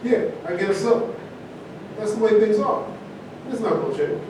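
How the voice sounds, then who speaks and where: Actor as a blunt ex-athlete, distant and echoey. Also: male voice, normal speed, close to an everyday voice. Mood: resigned. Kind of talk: staged play reading. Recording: distant roomy stage recording, rumbling noise.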